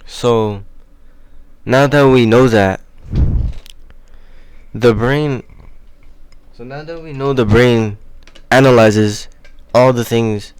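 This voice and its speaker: A young man explaining things in a casual, unscripted vlog, halting and slangy as he thinks aloud. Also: mid-range voice, smooth, no echo. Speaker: video host.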